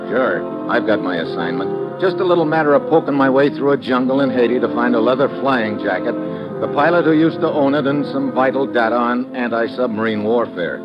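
An American actor playing a tough, wisecracking government agent in a wry, clipped 1950s radio drama style. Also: male voice, mid-range voice, brisk, lightly acted. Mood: wry, ominous. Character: tough, wisecracking male government agent, world-weary adventurer. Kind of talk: 1950s radio drama acting, hard-boiled narration. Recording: dull old radio recording, music behind.

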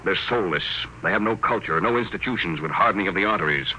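An actor playing a brusque, dynamic self-made space tycoon, clipped and commanding, in old-time radio drama style. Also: male voice, sits low, lively intonation, fast, pushed and bright, heavily acted, poor audio. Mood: forceful, confident. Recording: vintage radio broadcast, faint noise.

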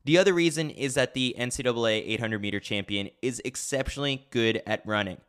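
A man narrates a scripted sports newsletter. His voice is bright and forward, with a smooth, crisp tone. The delivery is wry and clearly enunciated. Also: medium pitch, a little fast. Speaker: host reading a track and field newsletter.

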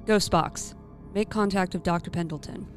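A woman voicing a tough paranormal hunter in an audio drama, talking in a brisk, commanding way. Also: on the low side, fairly even intonation, close to an everyday voice. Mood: determined. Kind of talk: radio play acting. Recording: audio drama over a music bed.

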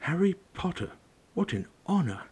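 A man voicing an old, reverent, emotional bartender who speaks in a halting, awed way, for a storybook. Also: high-pitched, very animated, a little mellow, husky, voice pushed up, full character voice.

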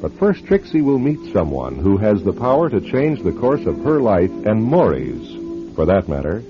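A man narrates as host of a radio mystery, theatrical and wry. His voice is fairly low and moves widely in pitch. Music plays clearly behind him on a slightly muffled recording. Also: huge pitch swings, normal speed. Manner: wry, suspenseful storyteller. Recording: dull lo-fi broadcast with music behind.

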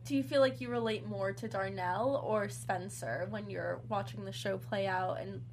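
A woman's voice: mid-range voice, steady tone, normal speed, darkish tone, slight background noise. A woman from Texas hosting a talk show, asking long questions and recapping episodes in an upbeat way. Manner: upbeat, asks long questions, laughs easily. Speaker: host.

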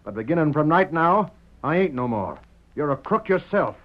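Highish, plain-spoken frontier deputy. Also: male voice, big pitch swings, normal speed. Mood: scornful. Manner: folksy drawling cowboy talk. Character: indignant, plain-spoken frontier deputy quitting his job. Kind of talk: old-time radio western acting. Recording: muffled, noisy vintage radio recording.

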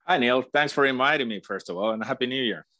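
A man's voice: mid-range voice, fast talker, pushed and bright, no room sound. Finnish-accented man, plain and matter-of-fact. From Finland. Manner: plain, matter-of-fact, short listing phrases. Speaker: soccer coach and guest. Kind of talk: casual interview answers.

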